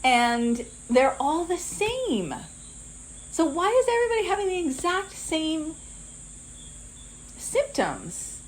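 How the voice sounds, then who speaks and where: A woman in her fifties teaching a live online masterclass, talking conversationally and turning lively when she acts out what people say. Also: very high-pitched, slow, long pauses, slight background noise. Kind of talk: live online class talk.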